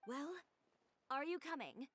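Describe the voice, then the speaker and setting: A woman's voice: high-pitched, sing-song, unhurried, fairly bright tone, full character voice. A woman voicing a cool, blunt female shop owner in a video game. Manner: cool, curt, cutting. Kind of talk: game voice acting.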